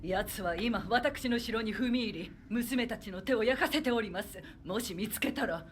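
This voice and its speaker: A woman's voice: a little high, fast, slight background noise, lightly acted. An actress playing a proud aristocratic vampire lady in a game, speaking formally and deferentially to her superior, played from the game. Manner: formal, deferential then haughty. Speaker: castle mistress reporting to her superior.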